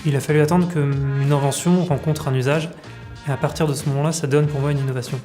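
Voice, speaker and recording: Quick, mellow-voiced man. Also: a little high, fairly even intonation, faint music behind. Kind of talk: explanatory interview opinion.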